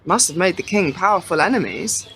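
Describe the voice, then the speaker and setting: A woman's voice: fairly low pitch, expressive, a little fast, fairly bright tone, a little hiss or hum, poor audio. An actor playing a curious young city woman who keeps questioning and objecting, in a radio play. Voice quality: questioning, indignant. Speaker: student character.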